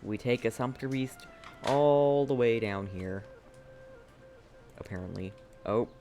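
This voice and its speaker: Slow, laid-back male game streamer. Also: mid-range voice, warm tone. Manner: laid-back, musing to himself. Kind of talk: casual gameplay commentary. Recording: game music behind.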